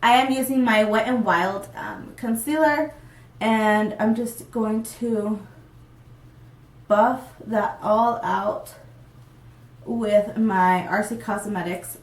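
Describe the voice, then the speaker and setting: A woman's voice: medium pitch, takes it slowly, recorded from a distance. A young woman with a stuffy, congested voice from a cold, chatting casually through a makeup tutorial with many ums. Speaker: beauty vlogger. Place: small room, plain backdrop. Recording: roomy and off-mic.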